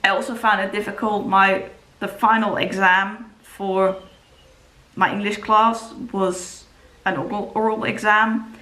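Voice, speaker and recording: A woman's voice: medium pitch, little pitch movement, a little slow, pushed and bright. A young woman speaks English with a Dutch accent in a mid-range voice. Her casual talk is hesitant and full of fillers and restarts. The room around her is noticeably echoey. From Netherlands. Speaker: booktuber.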